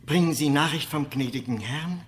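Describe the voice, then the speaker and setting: An actor playing a deferential manor servant with courteous, drawn-out speech in a 1970s German radio drama. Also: male voice, a little high, close to an everyday voice. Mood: surprised, welcoming.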